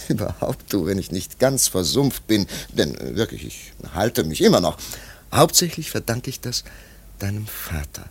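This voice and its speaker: An actor playing an earnest former art pupil in a radio play, husky and gravelly, hesitant then fervently emphatic. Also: male voice, on the low side, big pitch swings, unhurried, full character voice. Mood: hesitant, admiring. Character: earnest, effusive former art pupil revering his teacher. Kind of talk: classic German radio play acting.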